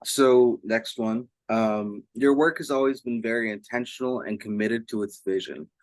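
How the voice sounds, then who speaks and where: A man's voice: mid-range voice, normal speed, dry recording. A man interviewing someone casually and reading out fan questions.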